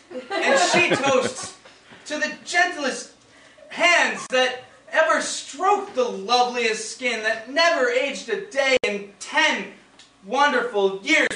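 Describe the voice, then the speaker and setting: An actor playing a flustered, tipsy husband spinning a tall story in a comic stage monologue, with theatrical delivery, recorded on an echoey theatre stage. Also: male voice, very high-pitched, recorded from a distance, exaggerated voice. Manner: theatrical, halting then excitable storytelling. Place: indoor stage of a small theatre.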